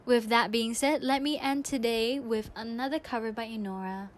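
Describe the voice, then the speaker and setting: Young woman doing a radio style podcast, high voice, reading from her notes. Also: steady tone, normal speed, background noise. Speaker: podcast host.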